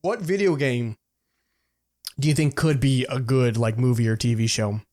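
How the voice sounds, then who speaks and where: Informal young man in excitable podcast chat. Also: medium pitch, normal speed. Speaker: podcast co-host. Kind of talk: casual chat.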